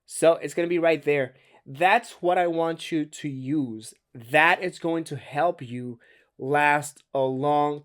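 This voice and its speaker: A man with a Spanish accent, a native Spanish speaker, giving a casual diction lesson in conversational English with emphatic stretched words and some stumbles. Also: a little high. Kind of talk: casual instructional talk to camera.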